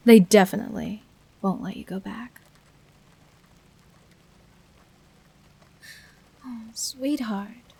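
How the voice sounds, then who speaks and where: A woman playing a bitter, protective fallen hero turned villain in an emotional confessional audio roleplay, speaking deliberately with dramatic pauses. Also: mid-range voice, darkish tone. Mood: gentle, grave. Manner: deliberate, dramatic, pausing between short phrases.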